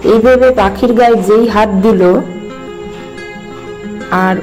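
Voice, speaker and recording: A woman's voice: fairly high pitch, fairly even intonation, slow, darkish tone. A woman reading scripted storybook narration for a cartoon tale, with music playing behind her.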